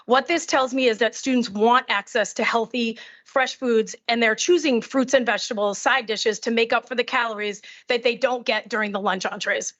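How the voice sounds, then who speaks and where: A woman's voice: fairly high pitch, fairly even intonation, normal speed, hardly any pauses, fairly bright tone. A middle-aged woman, a teacher, delivering prepared testimony at a public hearing. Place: committee hearing. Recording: dull, muffled audio.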